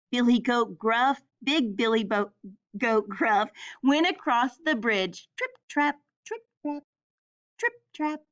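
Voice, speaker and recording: A woman reading a children's story aloud as narrator, deliberate and expressive. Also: on the high side, dull audio.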